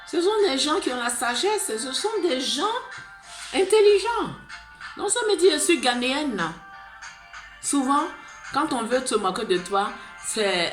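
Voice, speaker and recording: A fervent woman with an Ivorian accent pleads straight to camera in a fairly high voice, circling back on her points and tagging phrases with "là". The room rings with echo, and music plays clearly behind her. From Côte d'Ivoire. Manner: fervent and pleading, rambling with repeated "là" tags. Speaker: vlogger. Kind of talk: impassioned monologue to camera.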